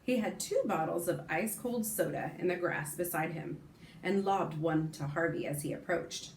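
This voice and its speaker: A woman teacher reading a novel aloud to her class, expressive and pausing to comment or correct herself. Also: lowish voice, vocal fry, echoey room, a little hiss or hum, low-quality recording.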